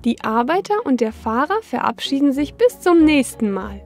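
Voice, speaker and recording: High-voiced woman narrating for children, music behind. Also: very animated, moderate pace. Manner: instructive, asking questions and answering them. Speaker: narrator of a children's educational cartoon. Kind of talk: scripted narration for young children. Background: background music.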